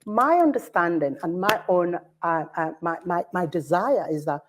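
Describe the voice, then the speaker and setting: A woman's voice: fairly low pitch, animated, moderate pace, background noise. A woman in her forties with a Nigerian accent, speaking as an academic director on a conference panel in an earnest, storytelling way.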